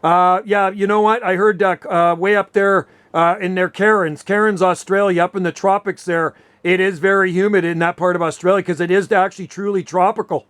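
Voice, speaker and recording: Male Canadian amateur radio operator, high-pitched voice, chatty and explanatory in an informal exchange. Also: steady tone, moderate pace, hardly any pauses, fairly bright tone, clean tone. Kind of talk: casual radio chat.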